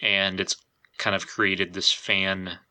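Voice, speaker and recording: A man's voice: low voice, level tone, takes it slowly, sharp tone. A man hosting a podcast, talking casually and feeling out his phrasing as he goes. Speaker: podcast host.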